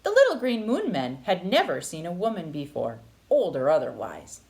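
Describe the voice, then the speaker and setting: Very animated woman reading a storybook. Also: lowish voice, normal speed. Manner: expressive, dramatic phrasing. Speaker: read-aloud storyteller. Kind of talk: children's picture-book narration.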